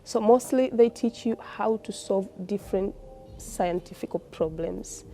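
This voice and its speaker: A woman's voice: mid-range voice, unhurried, warm tone, faint music behind. A woman with a Tanzanian accent, a postgraduate student giving an informal interview in an explanatory, conversational way.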